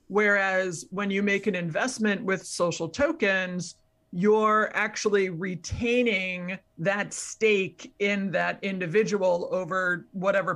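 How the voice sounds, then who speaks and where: Woman, guest expert, explanatory conversation, methodical delivery, mid-to-low female pitch. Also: a bit bright.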